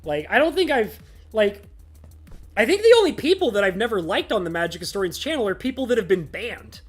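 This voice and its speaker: Excitable gushing woman, scratchy from laughing. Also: medium pitch, fairly quick, husky, soft background music. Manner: excitable, gushing, laughs often, heavy filler words, swears freely. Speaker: live streamer. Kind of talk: casual livestream chat, reading chat messages.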